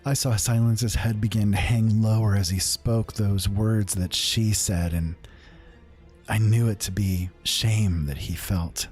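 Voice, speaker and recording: A man narrates a dark fantasy horror tale in a somewhat low voice. His telling is earnest and dramatic. Loud music plays behind him. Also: steady tone, measured pace. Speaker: storyteller. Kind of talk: spoken horror story narration.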